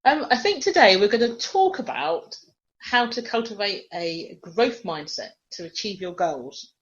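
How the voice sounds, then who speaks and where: A woman's voice: mid-range voice, animated, a little slow. A woman hosting a leadership podcast, introducing the show and prompting her guest in a friendly, encouraging way. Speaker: podcast host. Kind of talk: podcast interview. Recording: dull lo-fi sound.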